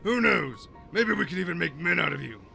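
A man performs a mocking military recruiter in a game fan dub. His voice is fairly high and forceful, heavy with gravel. He barks and sneers with swagger over background music. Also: expressive, a little fast, exaggerated voice. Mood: sneering. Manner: sneering, barking announcements.

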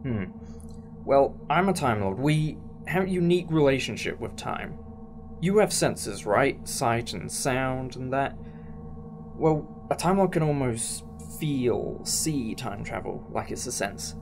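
A man's voice: medium pitch, expressive, slow pace, over music. A man acting in a fan audio drama as a thoughtful, reassuring alien time traveller who explains things in a musing, conversational way. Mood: musing, teacherly.

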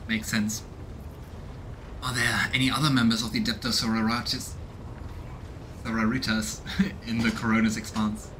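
A man's voice: on the low side, a bit bright, a little hiss or hum, poor audio. A man in his thirties giving casual, curious game commentary and reading game text aloud, musing as he goes. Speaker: let's play streamer. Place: indoors, small room at home.

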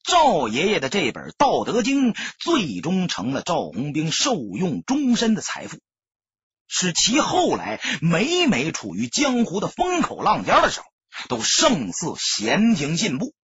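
Husky, very animated male storyteller. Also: high voice, unhurried, no echo, highs cut off. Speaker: audiobook narrator. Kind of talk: dramatic scripted storytelling narration.

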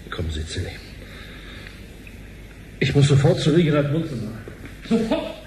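A man's voice: on the high side, very animated, moderate pace, darkish tone, a little hiss or hum. A man playing a grave, determined executive in a radio drama. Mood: urgent. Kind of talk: dramatic radio play acting.

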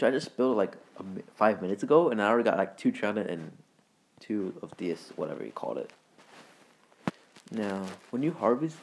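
A man hosting a gaming video with casual, rambling, halting commentary in informal words. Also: fairly low pitch, darkish tone, a little hiss or hum, low-quality recording. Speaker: gaming video creator and host.

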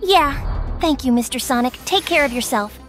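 Very high-pitched little rabbit girl. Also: lively intonation, moderate pace, fairly bright tone, soft background music. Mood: sweet. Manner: childlike, earnest pleading. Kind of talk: acted comic dub.

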